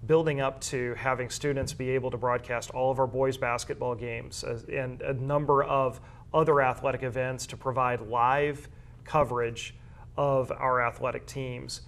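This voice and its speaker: A man's voice: medium pitch, level tone, normal speed, fairly bright tone. A man speaking as an interview guest, explaining school sports media work in a conversational way and often rephrasing his points. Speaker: guest, high school athletics media coordinator and broadcaster.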